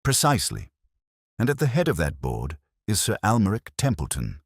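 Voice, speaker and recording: A man voicing a brooding, analytical gentleman detective in deliberate, declamatory speech for an audiobook. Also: on the low side, huge pitch swings, breathy edge, no echo, studio quality, voice pushed up, heavily acted. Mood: analytical, intense.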